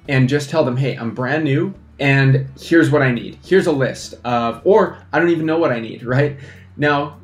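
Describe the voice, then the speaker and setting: A young man, a fly fishing instructor, giving energetic casual advice to camera at a desk microphone, often checking in with "right?". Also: mid-range voice, reverberant. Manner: energetic, animated hand gestures, lots of "right?". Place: small indoor studio against a plain backdrop. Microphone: desk microphone in front.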